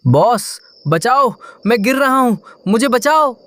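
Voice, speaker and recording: A man's voice: very high voice, animated, takes it slowly, fairly bright tone, heavily acted. A man voicing a timid, bumbling bird henchman in a Hindi cartoon. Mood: panicked.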